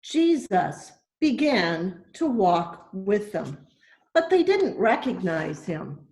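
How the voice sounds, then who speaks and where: A woman reading a Bible story aloud for children, in deliberate, simple phrases as a church storyteller. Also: mid-range voice, big pitch swings, a little mellow. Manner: deliberate, simple phrasing with questions to young listeners. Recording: echoey room.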